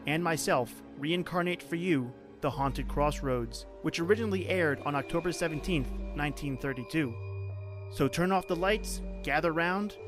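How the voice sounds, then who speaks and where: Higher-voiced man hosting over music. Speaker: podcast host. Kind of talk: scripted introduction narration. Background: prominent music.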